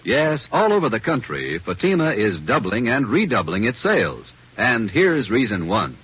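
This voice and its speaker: A husky American man announcing emphatically in 1940s radio style. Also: mid-range voice, huge pitch swings, moderate pace, a bit bright. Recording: dull old radio recording, faint music.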